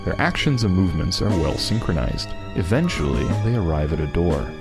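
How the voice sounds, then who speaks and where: A man's voice: low voice, very animated, fairly quick, loud music behind the voice. A man reading scene headings and action as narrator of an amateur fan fiction script.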